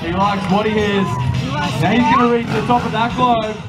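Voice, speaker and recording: A very high-voiced man works as a rousing circus announcer, urging on the audience like a showman. His voice comes over an outdoor PA, with loud music and crowd noise all around it. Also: steady tone, normal speed. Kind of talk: live show announcing, hyping a crowd. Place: outdoor fairground arena. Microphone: PA microphone, filmed from the audience.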